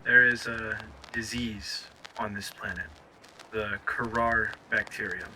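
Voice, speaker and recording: A man playing a stranded crash survivor recording an audio log, anxious and awestruck, with a halting, stammering delivery. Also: fairly low pitch, steady tone, background noise. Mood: grave, subdued. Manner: halting, stammering over words. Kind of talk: scripted audio log narration.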